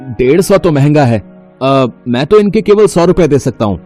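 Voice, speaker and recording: A man voicing a pushy market customer in a cartoon, bargaining briskly. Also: fairly high pitch, animated, warm tone, faint music behind, lightly acted. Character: haggling, pushy male fish customer in a cartoon.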